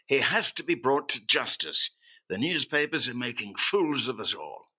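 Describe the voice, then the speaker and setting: An actor playing a pompous, impatient upper-class customs chief in an old-time radio drama, blustering and demanding. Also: male voice, mid-range voice, big pitch swings, moderate pace, bright, forceful tone, slightly rough, full character voice. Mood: indignant, demanding.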